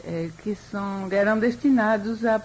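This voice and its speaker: Older woman historian, unhurried and reflective with pauses, faint background noise. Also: mid-range voice, a little mellow, poor audio. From Europe. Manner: measured, thoughtful, with frequent hesitations. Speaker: historian, interview guest. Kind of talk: radio interview.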